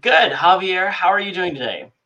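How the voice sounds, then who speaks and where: A man hosting a podcast, asking slow, hesitant questions with fillers. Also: high voice, expressive, bright, forceful tone, muffled recording. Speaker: podcast host. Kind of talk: interview host.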